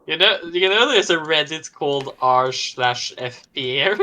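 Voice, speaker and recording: A man chatting casually with friends during a game, explaining things in a measured way. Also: on the high side, lively intonation, bright, forceful tone. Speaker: co-player in a gaming stream. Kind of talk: casual gaming chat.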